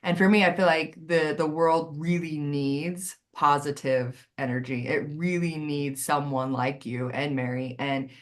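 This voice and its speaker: A woman's voice: low voice, steady tone, a little slow, few pauses. A woman hosting a live interview, encouraging her guest and often checking in with "right?". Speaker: host. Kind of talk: casual interview chat.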